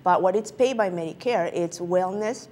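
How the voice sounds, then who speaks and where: Woman, lowish voice, halting speech, frequent fillers, faint hiss. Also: a little mellow. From Peru. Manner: halting, many filler sounds. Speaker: guest physician. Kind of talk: interview answers.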